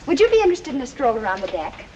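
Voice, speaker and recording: A woman's voice: high voice, sing-song, rapid-fire, warm tone, dull audio. An actress playing a pleasant, obliging young lady in a 1940s film. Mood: cheerful. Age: young adult. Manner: polite, obliging. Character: polite, pleasant young lady singer aboard ship. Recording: noisy 1940s film soundtrack.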